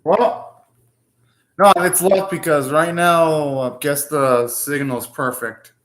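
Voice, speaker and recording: A man's voice: on the high side, fairly even intonation, slow pace, fairly bright tone, a little hiss or hum. A young man hosting a live anime reaction, talking casually, ranting and swearing now and then.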